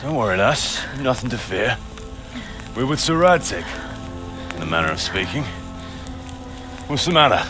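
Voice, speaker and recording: An actor playing a gruff, cautious mercenary chief in a video game, dry and folksy in his orders. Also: mid-range voice, big pitch swings, moderate pace, pauses often, over music, close to an everyday voice. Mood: calm, reassuring. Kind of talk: video game cutscene acting.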